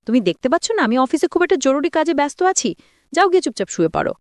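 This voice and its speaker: A woman's voice: high voice, big pitch swings, fast talker, dry recording, studio quality, pitched above the performer's own voice. A woman storyteller voicing a short-tempered, dismissive husband who scolds impatiently. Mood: irritated.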